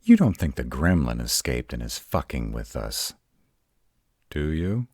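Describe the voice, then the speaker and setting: A man playing a grumpy, protective were-dragon in a roleplay audio, gruff and exasperated, rattled but defiant. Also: rumbling low, very animated, a little mellow, no echo, clean studio sound, heavily acted. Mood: rattled, uneasy. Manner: gruff, exasperated, halting one-sided dialogue.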